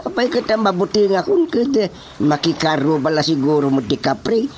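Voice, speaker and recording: A man with a Filipino accent playing an excitable, dismissive rural father in a radio comedy drama. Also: fairly high pitch, normal speed, a little hiss or hum, natural voice. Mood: flustered bluster. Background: music.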